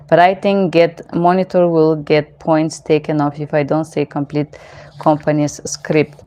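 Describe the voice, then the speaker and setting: Frank, low-voiced woman with a non-native accent. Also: mellow. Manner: speaks English with a noticeable non-native accent; frank, opinionated, rambling asides. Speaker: interpreter and channel host. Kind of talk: casual Q&A monologue.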